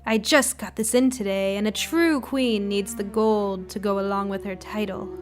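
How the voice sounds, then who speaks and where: A woman puts on a grand, mustached costume-shop man for a spoken short story. He flatters like a showman salesman, with faint music behind him. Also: mid-range voice, normal speed, lightly acted. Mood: coaxing, flattering.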